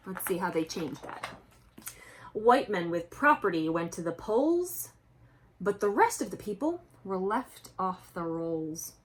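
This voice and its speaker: A woman's voice: medium pitch, slight background noise. A woman reading a picture book aloud to children expressively, breaking off to explain things directly to young listeners. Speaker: children's book reader and presenter.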